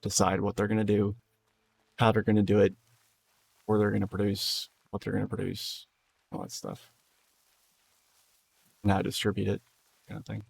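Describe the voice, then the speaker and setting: A tired, hoarse man streaming a game, rambling in loose casual talk and swearing freely. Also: lowish voice, moderate pace, pauses often, darkish tone, no room sound. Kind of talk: casual live-stream chat.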